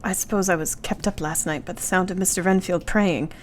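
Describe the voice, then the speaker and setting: An actress playing an earnest, tender young Victorian wife in a radio play, with formal period diction. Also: female voice, lowish voice, steady tone, brisk, slight background noise, close to an everyday voice. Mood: weary, troubled.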